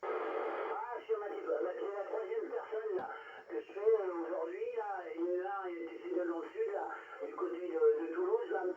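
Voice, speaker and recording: A man's voice: high-pitched, steady tone, moderate pace. An older man chatting casually as a radio operator over a two-way radio transmission. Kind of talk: casual radio contact chat. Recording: noisy muffled radio transmission, roomy and distant.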